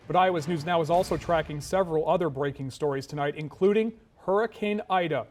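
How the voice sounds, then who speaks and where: A man with an American accent anchors the news. His voice sits on the high side for a man. He reads scripted broadcast copy, with music underneath. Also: darkish tone.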